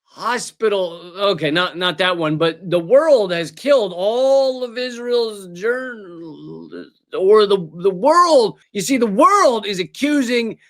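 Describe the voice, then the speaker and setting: Sarcastic high-voiced male satirist. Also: lively intonation, takes it slowly. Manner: sarcastic, mocking asides and shouted put-on bits. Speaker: host. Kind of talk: satirical political commentary.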